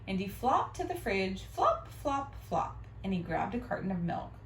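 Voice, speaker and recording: A woman reading a storybook aloud to children in an expressive, teacherly way. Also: medium pitch, normal speed. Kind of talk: animated read-aloud with questions to children. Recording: roomy, distant mic, faint noise.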